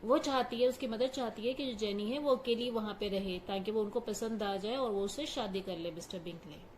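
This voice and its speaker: A woman's voice: mid-range voice, brisk, a little mellow, a little hiss or hum. A woman teacher patiently explaining a story line by line, glossing each phrase in simple words. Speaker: English teacher.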